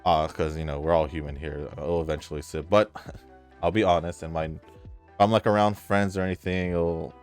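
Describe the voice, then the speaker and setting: A man's voice: sits low, steady tone, moderate pace, clear, resonant tone. A man talking casually as a gaming YouTuber, reading viewer comments aloud and reacting in a laid-back, hesitant way with trailing fillers. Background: faint background music.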